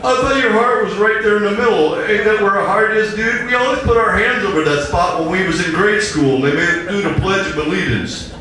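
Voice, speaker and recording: A man's voice: sits high, crowd noise, pitched above the performer's own voice, close to an everyday voice. A man voicing a dim, reluctant, childish slacker thug with slangy, whiny talk, read aloud in an echoing room. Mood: confused. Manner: slangy, whiny, slow-witted. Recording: roomy live stage, distant mic, faint noise.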